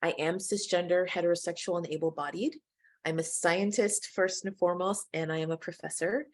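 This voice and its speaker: A middle-aged American woman moderating and presenting in a webinar, speaking in a measured, inclusive way straight to the audience. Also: fairly low pitch, steady tone, a little hiss or hum. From United States.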